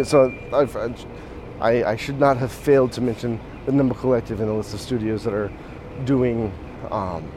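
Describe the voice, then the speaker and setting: Man, hoarse froggy voice, rambling casual host, walking outside, faint street noise. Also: medium pitch. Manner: rambling, frequent restarts and ums, chuckles. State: hoarse, voice mostly shot. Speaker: podcast host. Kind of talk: casual walk-and-talk monologue. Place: outdoors on a city street. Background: street and traffic noise.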